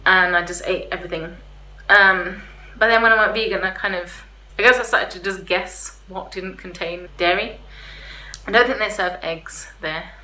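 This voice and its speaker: A woman's voice: on the low side, moderate pace, sharp tone. A young woman vlogger chatting casually to camera in an informal, slightly hesitant way, giving a review. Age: young adult. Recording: roomy echo, faint background hiss.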